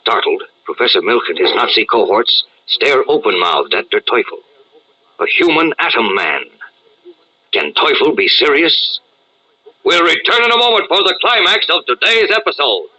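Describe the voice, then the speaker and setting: A man's voice: mid-range voice, sing-song, moderate pace, sharp tone, slightly rough, highs cut off. A man narrating a radio serial as its announcer, theatrical and urgent in a 1940s style.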